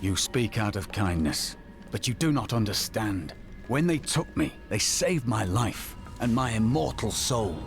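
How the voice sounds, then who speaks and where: An actor playing a solemn, zealous templar warrior in a video game, speaking formally and earnestly. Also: male voice, on the low side, expressive, unhurried, over music. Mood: earnest conviction. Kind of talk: video game character dialogue.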